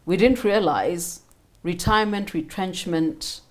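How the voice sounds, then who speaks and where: A woman's voice: on the low side, measured pace. A woman with a Kenyan accent hosts a conversational interview, interjecting readily and repeating words for emphasis. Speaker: interview host.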